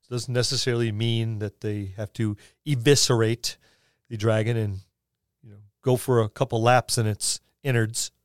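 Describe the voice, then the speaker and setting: A man's voice: medium pitch, fairly even intonation, normal speed, clean tone, no echo, studio quality. A man co-hosting a podcast, chatting casually about tabletop roleplaying with wry quips. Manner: wry, quipping. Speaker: podcast co-host.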